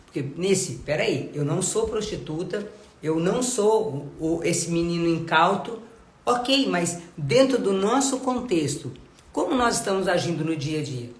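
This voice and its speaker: A woman's voice: on the low side, expressive, unhurried, reverberant. A woman giving a live devotional Bible talk, nasal and congested from a cold, earnest and full of rhetorical questions. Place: home.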